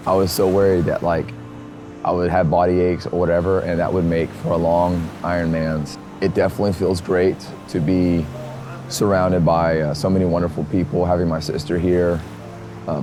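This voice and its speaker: Hoarse man, very deep, upbeat rambling vlog delivery, outdoors, music bed. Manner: rambling, upbeat, many fillers. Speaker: triathlete filming his race. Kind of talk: casual vlog to camera.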